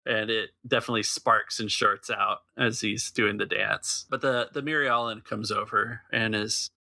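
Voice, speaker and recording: A man's voice: lowish voice, steady tone, normal speed, creaky, sharp tone, no room sound. A man narrating a tabletop role-playing game as game master, describing scenes and calling for checks.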